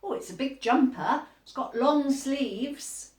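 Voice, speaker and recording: Curious elephant for a children's picture book, voiced by a woman, questioning, drawn-out phrasing, roomy sound. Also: sits high, animated, far from the mic.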